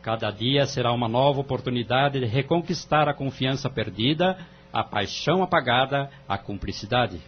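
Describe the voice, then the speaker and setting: Man playing a measured, moralizing Brazilian judge. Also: mid-range voice, slight background noise, lightly acted. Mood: solemn, encouraging. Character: calm, wise male family-court judge counselling a couple. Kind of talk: audio drama acting. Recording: dull lo-fi audio, music underneath.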